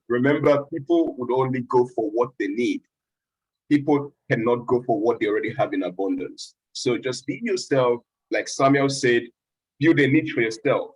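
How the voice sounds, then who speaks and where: A man's voice: mid-range voice, husky, poor audio. A man hosting an online panel discussion on a video call, encouraging speakers and keeping things moving. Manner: encouraging, wraps up speakers briefly. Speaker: host.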